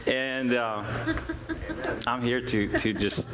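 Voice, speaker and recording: South african guy, afrikaans first language, halting informal church testimony, mid pitch, some background noise. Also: steady tone. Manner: halting, repeats words while searching for phrasing. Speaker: worship musician and music teacher giving a testimony.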